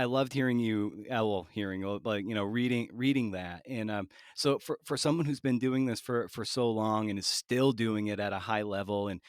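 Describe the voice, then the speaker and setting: A man interviewing as a podcast host in a casual, halting way, rambling as he thinks aloud. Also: on the low side, no room sound, clean studio sound.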